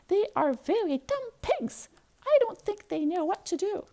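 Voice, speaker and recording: Woman putting on a high, fussy storybook hen. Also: big pitch swings, a little slow, warm tone, exaggerated voice. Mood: scornful. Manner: clucking, exclamatory, insistent. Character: fussy, bossy female hen, tidy and easily flustered.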